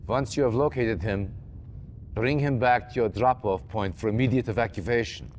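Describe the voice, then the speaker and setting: An actor playing a grave, commanding older army general, speaking formally and deliberately in a video game cutscene. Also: male voice, mid-range voice, darkish tone, close to an everyday voice. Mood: urgent, commanding. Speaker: military commander. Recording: noisy old game video audio.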